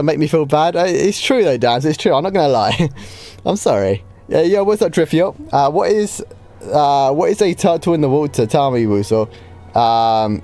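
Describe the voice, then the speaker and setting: A man, a bit hoarse from a starting cold, easily answering viewer questions on a live game stream. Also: mid-range voice, mellow. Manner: chatty, easygoing, with frequent ums and light laughs.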